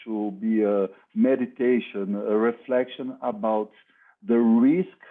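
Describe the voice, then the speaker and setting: He is a film director with a Brazilian Portuguese accent, speaking very slowly in halting, filler-laden English. His voice sits at an ordinary male pitch and comes through a thin, phone-like video-call line. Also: fairly even intonation. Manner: halting, many pauses and fillers, searching for words. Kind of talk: festival Q&A answers.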